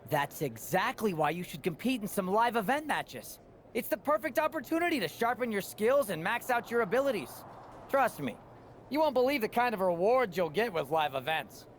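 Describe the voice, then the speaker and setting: A wheedling, self-important show booker, high-voiced and chirpy. His pitch bounces around like a salesman's in game cut-scene dialogue, with faint noise behind. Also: very animated, moderate pace. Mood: eager, self-important.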